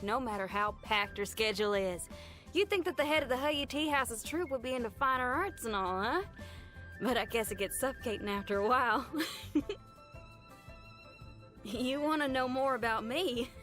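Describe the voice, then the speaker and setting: A woman voicing a spirited, brash but good-hearted young female rock musician in a video game, chatty and folksy in her expressive delivery. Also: on the high side, natural voice. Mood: candid, wry. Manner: folksy, colloquial, chatty and enthusiastic. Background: background music.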